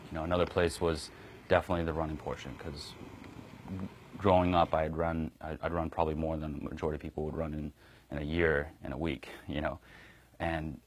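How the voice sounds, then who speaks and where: A man's voice: very deep voice, slight background noise. A young man from Kazakhstan, a Marine sergeant, talking earnestly and conversationally in an interview, with lots of fillers and restarts. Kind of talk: recruiting interview. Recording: music bed under the voice.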